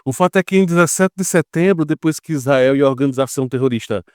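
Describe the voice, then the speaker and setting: A man's voice: on the high side, quick pace, a little mellow, clear, resonant tone, dry recording, studio quality. A man with a Brazilian Portuguese accent giving informal news commentary as an online geopolitics commentator, explaining step by step and often checking in with short tag questions. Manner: explanatory, peppered with tag questions like "right?" and "okay?".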